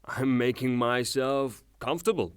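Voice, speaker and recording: An actor playing a blunt, hot-tempered creditor in a theatrical audio drama, speaking in a mocking, brusque way. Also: male voice, medium pitch, measured pace, smooth, dry recording, clean studio sound, lightly acted. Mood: stubborn, insistent.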